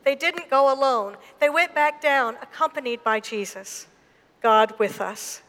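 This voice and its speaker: A woman pastor with a Southern Mississippi accent delivers a sermon in a high voice. She tells her story slowly and with emphasis. Her voice carries a long reverb, as in a church gym. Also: a bit bright. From Mississippi, USA.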